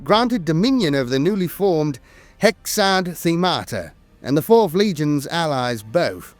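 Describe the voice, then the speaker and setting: A man reading dramatic scripted lore narration in a theatrical, deliberate way with weighty emphasis. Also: fairly high pitch, a little mellow, dry recording. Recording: music bed behind a dry voice. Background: music.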